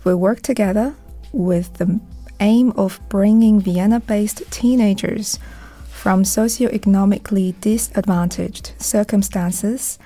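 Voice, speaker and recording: A woman's voice: mid-range voice, fairly even intonation, slow pace, mellow, soft background music. A woman who coordinates a youth project, reading a prepared introduction for a radio program. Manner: reads a prepared script.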